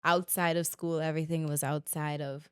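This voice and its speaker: A woman's voice: deep voice, flat delivery, normal speed, clean tone, no room sound, clean studio sound. A woman from the Bahamas telling her own story as an interview guest, earnest and grateful in a casual way. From Nassau, the Bahamas. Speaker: interview guest, musical theater dancer.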